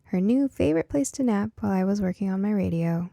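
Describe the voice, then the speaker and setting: A woman voicing a curious, science-minded girl who narrates her own story in a conversational, expressive storybook style. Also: mid-range voice, fast talker, gravelly, dry recording. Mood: chatty, wry. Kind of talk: first-person audiobook narration.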